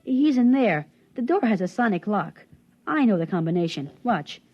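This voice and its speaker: An actor playing an earnest, excitable young boy, a gee-whiz kid brother, in a 1950s radio drama. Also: mid-range voice, moderate pace, faint music behind. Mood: excited. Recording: muffled vintage radio recording.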